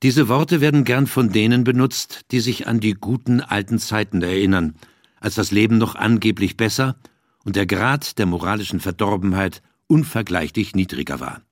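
A man's voice: lowish voice, moderate pace, no echo, studio quality. A man reading a scripted, explanatory audiobook text. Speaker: audiobook reader.

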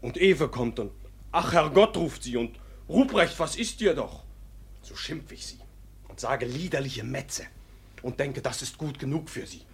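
Husky, hot-headed young peasant. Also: male voice, medium pitch, lively intonation, a little slow, heavily acted. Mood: aggrieved. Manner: earthy, vivid, indignant storytelling. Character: indignant, hot-headed young peasant lad, jilted fiancé. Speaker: actor in a radio play. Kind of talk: theatrical verse radio play acting.

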